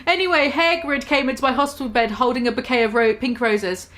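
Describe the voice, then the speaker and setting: A young woman giving a mock-dramatic comic reading, deadpan with swearing that breaks into giggles. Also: high voice, a little fast. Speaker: comedic reader.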